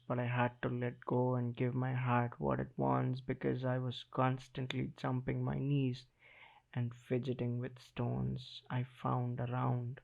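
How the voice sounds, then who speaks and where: A man delivers spoken-word poetry through a thin, phone-like recording. His pace is very slow and his voice has a heavy creak. He speaks dramatically, setting short phrases apart with weighty pauses. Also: medium pitch. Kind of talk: slam poetry recitation in the first person.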